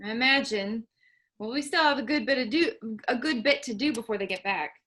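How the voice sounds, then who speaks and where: A woman reading a patient, gently teasing grown daughter in a staged play reading. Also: fairly high pitch, a little fast, a little hiss or hum, natural voice. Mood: gentle. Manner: soft, easygoing, gently teasing.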